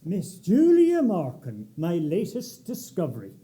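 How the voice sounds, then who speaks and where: Man as a showy gentleman, high swooping voice, slow, dark. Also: huge pitch swings, exaggerated voice. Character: showy older gentleman, boastful.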